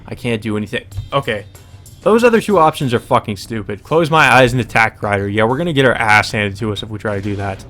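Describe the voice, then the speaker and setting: A man's voice: lowish voice, animated, fast, bright, forceful tone, soft background music. A man reading visual novel narration aloud as a let's play, mixed with casual, sweary commentary.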